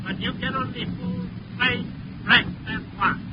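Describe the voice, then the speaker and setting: High-pitched sneering space attacker. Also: male voice, steady tone, a little slow. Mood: sneering, hostile. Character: sneering, hostile male attacking space pilot. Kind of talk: 1950s radio adventure serial acting. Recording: very noisy muffled 1950s radio recording.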